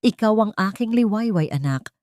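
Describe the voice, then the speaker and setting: A woman narrator voicing a tender, loving young mother talking softly to her newborn in a story. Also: medium pitch, animated, normal speed, dry recording, clean studio sound, pitched above the performer's own voice, close to an everyday voice. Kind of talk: storybook-style drama narration.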